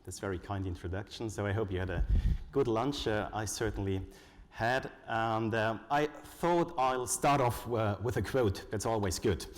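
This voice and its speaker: A man with a German accent giving a conference presentation, measured and full of "uh" fillers. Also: fairly low pitch, slight background noise. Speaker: conference speaker. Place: conference hall. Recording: long reverb from a large hall.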